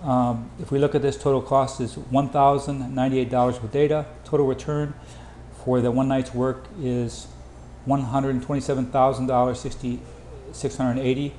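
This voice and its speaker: A man giving an informal sales presentation over slides, with hurried, clipped phrasing. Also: medium pitch, little pitch movement, mellow. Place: indoor room, voice-over for slides. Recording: roomy echo, faint background noise.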